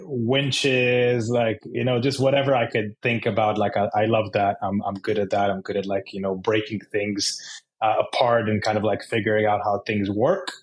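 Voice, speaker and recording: A man with an Israeli accent giving casual interview answers as a guest, in halting, loosely phrased speech. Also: fairly low pitch, little pitch movement. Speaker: interview guest, bike shop owner.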